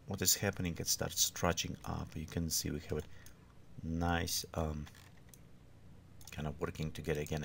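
Male tutorial instructor, non-native English, creaky voice, unscripted and halting, recorded with background noise and keyboard clicks. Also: low-pitched. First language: not English. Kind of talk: informal step-by-step screen tutorial.

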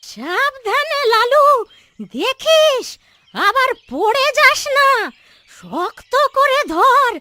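A woman's voice: very high-pitched, sing-song, drawn-out words, bright, forceful tone, dry recording, exaggerated voice. A woman voicing an anxious old village grandmother, cautioning and giving instructions in a cartoon story.

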